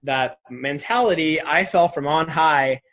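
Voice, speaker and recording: A man's voice: a little high, measured pace, fairly bright tone. A man in his twenties talking casually and reflectively as an interview guest, earnest, often saying "like" and "right?". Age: 20s.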